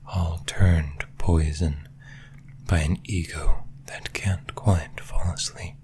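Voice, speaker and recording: A man voicing a possessive, taunting yandere demon in a breathy, menacing whisper, in the style of an ASMR roleplay. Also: low-pitched, steady tone, a little mellow. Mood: hushed, ominous. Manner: slow, menacing, teasing.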